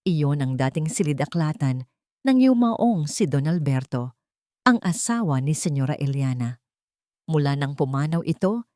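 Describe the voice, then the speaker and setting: A woman with a Filipino accent narrates a dramatic audio story. Her voice sits low for a woman, and the sound is dry, with very little room on it. The delivery is that of scripted suspense fiction. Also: darkish tone, dry recording.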